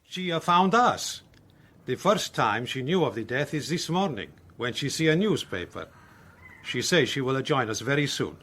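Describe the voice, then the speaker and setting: A man playing a courteous, grave Italian who speaks halting, slightly broken English in a radio drama. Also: medium pitch, sing-song, fairly bright tone. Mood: grave, measured.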